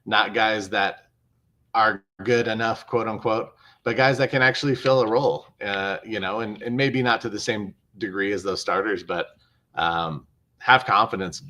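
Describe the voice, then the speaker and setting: A man co-hosting a sports show on a video call, explaining his take in a measured way and repeating phrases for emphasis. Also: lowish voice, steady tone, pushed and bright. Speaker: co-host and sports commentator. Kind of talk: casual sports analysis over video call.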